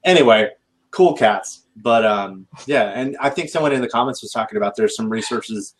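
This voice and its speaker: A man's voice: mid-range voice, animated, moderate pace, a little hiss or hum, rough recording. A man talking casually as a guest on a recruiting panel, rambling and correcting himself as he goes. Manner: rambling, self-correcting, laid-back.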